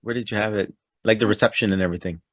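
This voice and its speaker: A man from Toronto hosting a casual interview, upbeat and chatty and quick to laugh. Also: lowish voice, fairly even intonation, no room sound, clean studio sound. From Toronto, Canada. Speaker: podcast host.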